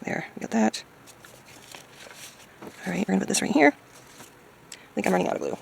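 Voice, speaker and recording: A woman chatting casually as she crafts, thinking aloud hesitantly in a hoarse, gravelly voice. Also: medium pitch, expressive, fairly quick, slight background noise, low-quality recording. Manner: hesitant, musing to self. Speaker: craft video maker.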